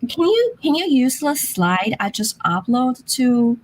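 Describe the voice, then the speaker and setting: A woman presenting her research remotely at a conference, speaking slowly and haltingly with a hoarse voice. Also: a little high, very animated, a little mellow, a little hiss or hum, rough recording. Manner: slow, halting, apologetic. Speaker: academic presenter.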